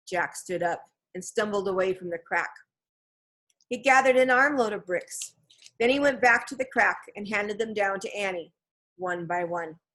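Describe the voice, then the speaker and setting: A woman reading scripted narration from a children's novel in a measured, expressive storytelling manner. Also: medium pitch, low-quality recording. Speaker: storybook reader.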